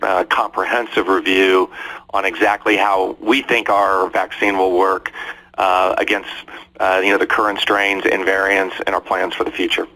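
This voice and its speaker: A man speaking as a company executive answering on an earnings call, in measured, hesitant corporate phrasing. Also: sits low, little pitch movement, sharp tone, rough recording.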